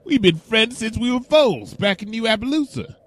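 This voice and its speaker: A man's voice: sits high, sing-song, moderate pace, fairly bright tone, heavily acted. A man voicing a protective, folksy pony father in a wasteland audio drama, with a drawling Southern US accent. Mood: warm, jovial.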